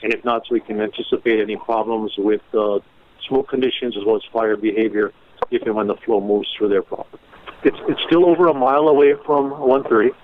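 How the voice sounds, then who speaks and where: A man giving a measured, halting update as an official at a media briefing, with many fillers and restarts. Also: on the low side, steady tone. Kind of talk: informal media briefing on a conference call. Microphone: phone. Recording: tinny phone line with faint noise.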